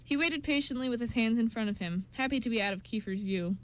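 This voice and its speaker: A woman with a fairly high voice narrates a scripted radio drama. She reads the story in the third person between the characters' lines. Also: quick pace, poor audio.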